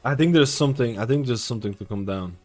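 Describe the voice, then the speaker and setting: A man's voice: mid-range voice, fast, a little mellow. A man gives casual, opinionated live gaming commentary as a streamer, swearing offhandedly. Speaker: game streamer.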